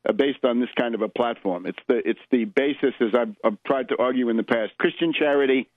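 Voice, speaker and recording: A man's voice: mid-range voice, brisk, no echo. An American man hosting political radio, delivering an emphatic, oratorical and urgent opinionated monologue. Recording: tinny band-limited radio line, dry.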